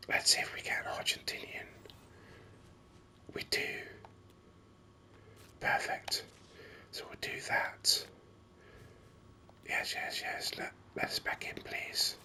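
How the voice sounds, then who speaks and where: Whispering ASMR gamer, slow and crisp. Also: pushed and bright. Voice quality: breathy, unvoiced whisper. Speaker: gaming video host. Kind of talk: whispered ASMR game commentary.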